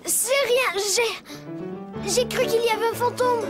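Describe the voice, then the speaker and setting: A woman's voice: very high-pitched, slow. A woman playing a timid, pleading little boy in a children's cartoon, with a whiny, wheedling manner. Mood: scared. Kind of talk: cartoon voice acting. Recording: loud music behind the voice.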